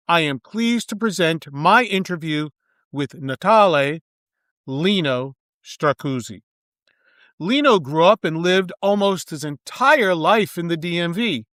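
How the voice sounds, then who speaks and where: A man hosting an interview podcast, reading a formal scripted introduction and then asking questions in a measured way. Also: high voice, fairly bright tone, dry recording, studio quality. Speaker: podcast host.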